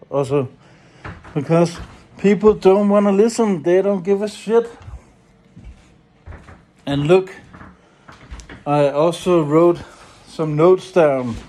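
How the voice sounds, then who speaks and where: Male, Danish accent, higher-pitched but mellow, slow hesitant delivery for a rambling personal vlog. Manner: hesitant, halting, often trailing off, blunt and sweary. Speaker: solo activist and vlogger. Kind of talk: rambling casual monologue to camera.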